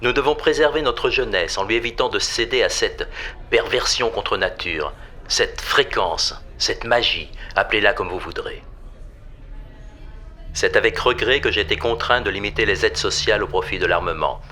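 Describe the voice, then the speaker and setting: A man acts out a venomous tyrant addressing his nation in an audio drama. The voice is low and cuttingly bright. The delivery is a formal political speech, grandiloquent and full of solemn menace. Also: normal speed. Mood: solemn, menacing.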